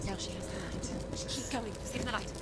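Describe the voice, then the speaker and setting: A woman's voice: medium pitch, normal speed, very noisy, lightly acted. A woman voicing an agitated inner voice in a video game, urgent, fretful and repetitive. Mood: fretful urging. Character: agitated female voice inside a warrior's head. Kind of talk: dramatic video game acting.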